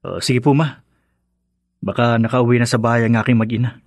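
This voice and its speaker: A man's voice: medium pitch, fairly quick, a little mellow, no echo, clean studio sound, lightly acted. A man playing a deferential, hesitant young husband and son in a Filipino radio drama. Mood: hesitant, obedient.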